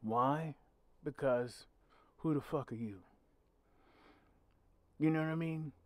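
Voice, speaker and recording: A man's voice: a little high, slow pace, dry recording. A man with a growly voice, hosting his own channel and giving blunt, emphatic commentary with swearing. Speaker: channel host. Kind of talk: solo commentary podcast.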